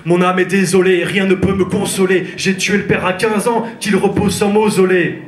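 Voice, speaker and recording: A man's voice: sits high, level tone, moderate pace. Man performing slam in a roomy theatre. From France. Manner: rhythmic, intense spoken-word delivery. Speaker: slam poet. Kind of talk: slam poetry performance on stage. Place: theatre stage. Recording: roomy theatre echo, live.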